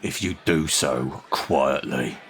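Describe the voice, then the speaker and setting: A menacing English criminal with a working-class accent and an extremely low voice. He is gruff and curt, bullying whoever he talks to. It is audio drama acting with a surly edge. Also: slow pace, fairly bright tone, some chatter behind, full character voice.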